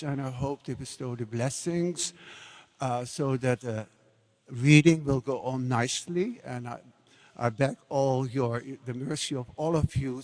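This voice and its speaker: A man's voice: mid-range voice, darkish tone. A man introducing a devotional play, speaking in an informal address to those gathered.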